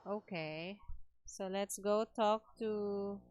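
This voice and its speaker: A woman's voice: mid-range voice, extremely slow, noisy background. A woman with a Filipino accent reacting casually to a game as she livestreams it. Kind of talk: casual reactions to gameplay, code-switching.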